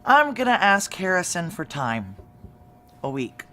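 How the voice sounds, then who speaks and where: A woman's voice: on the low side, sing-song, measured pace, fairly bright tone, a little hiss or hum. A woman acting a tough, guarded female security chief in a noir audio drama, terse, wry and confiding. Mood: weary, insistent. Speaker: lead character and narrator.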